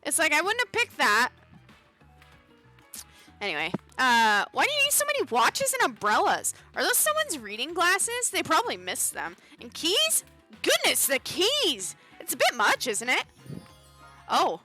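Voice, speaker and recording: A woman's voice: high-pitched, huge pitch swings, a little slow, sharp tone, faint music behind. A woman streamer reading a dating game aloud, with playful, sarcastic asides.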